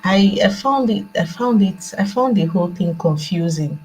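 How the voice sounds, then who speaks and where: A woman's voice: medium pitch, animated, moderate pace, a little mellow, slight background noise, poor audio. A woman with a Nigerian accent giving unscripted opinions as a remote guest, speaking hesitantly and reflectively and repeating phrases for emphasis. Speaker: remote guest on a panel discussion. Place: indoors at a laptop on a remote online call.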